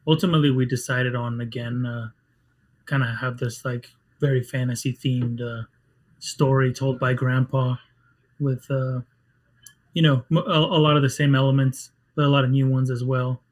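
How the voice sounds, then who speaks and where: A man whose first language is Spanish speaks in a flat, level tone. He talks haltingly, with frequent restarts and fillers, as a game developer chatting in an interview. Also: medium pitch, low-quality recording. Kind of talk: casual interview chat.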